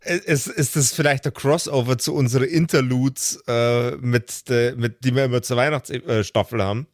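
A man's voice: medium pitch, normal speed, dry recording, clean studio sound. A man talking casually as a player in an improvised tabletop role-playing game.

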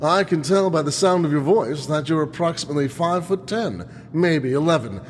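An actor playing a pompous, boastful villainous thief in a melodramatic old-time radio drama parody. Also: male voice, on the high side, expressive, normal speed, slight background noise, close to an everyday voice. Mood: smug menace. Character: theatrical villainous thief, a boastful museum society president. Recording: long reverberant hall, music behind.

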